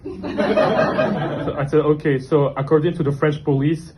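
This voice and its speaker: A young man from Morocco, doing a self-deprecating stand-up set in a comedy club, deadpan and halting with fillers. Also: on the high side. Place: comedy club with live audience. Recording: noisy club recording. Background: audience laughter.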